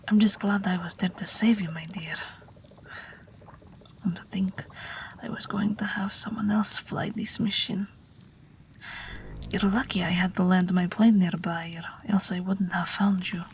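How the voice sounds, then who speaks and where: A woman's voice: mid-range voice, a little hiss or hum. A woman voicing an ageless Russian night witch pilot, wry, tender and weary, in an intimate, whispery audio roleplay. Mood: bitter, then tender. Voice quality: breathy, whispery. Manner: gentle, dryly wry, unhurried.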